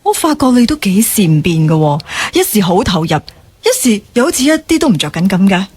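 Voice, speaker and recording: An actress playing a sensible, reserved office professional in a radio drama, with a matter-of-fact manner. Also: female voice, mid-range voice, sing-song, fairly quick, darkish tone, breathy edge. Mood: reasoning.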